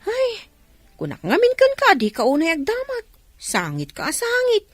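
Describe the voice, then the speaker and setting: A woman acting an excitable, nosy, scolding villager in a Filipino comedy radio drama, with a Filipino accent. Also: very high voice, moderate pace, no echo, full character voice. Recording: music under the voice.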